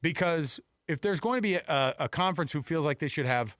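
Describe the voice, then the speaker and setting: Animated man co-hosting sports radio. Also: fairly high pitch, brisk, dry recording, studio quality. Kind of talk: casual sports talk banter.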